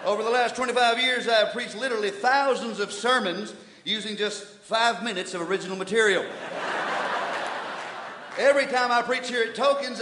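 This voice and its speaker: A man's voice: high-pitched, lightly acted. A man playing a blustering, indignant preacher, delivering a comic mock sermon with emphatic pulpit cadence on a live stage. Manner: emphatic pulpit delivery, swinging from muttered asides to hollering. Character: blustering, indignant old-fashioned revival preacher, male. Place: large indoor theatre stage before an audience. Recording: echoey hall, live stage, audience noise.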